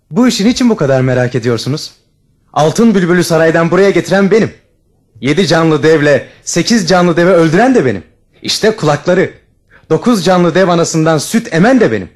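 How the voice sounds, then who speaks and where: A man's voice: a little high, lively intonation, normal speed, lightly acted. A man playing a bold, proud young hero prince in a fairy tale audio storybook, boastful and emphatic. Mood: defiant pride.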